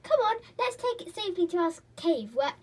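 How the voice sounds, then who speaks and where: Woman voicing storybook gnomes, male, greedy, shouting, very high and piercing. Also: very animated, brisk, a little hiss or hum. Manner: shrill shouting.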